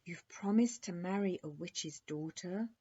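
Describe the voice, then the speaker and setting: A woman's voice: fairly low pitch, measured pace, dark tone, breathy edge. A woman voicing a sensitive little princess in a storybook, with an emphatic, childishly dignified manner. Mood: indignant. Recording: dull lo-fi recording.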